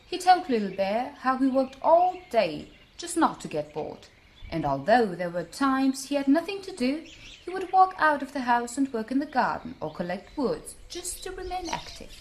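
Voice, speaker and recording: A woman storyteller narrating a scripted bedtime tale for children in an expressive manner. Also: high voice, moderate pace, slight background noise.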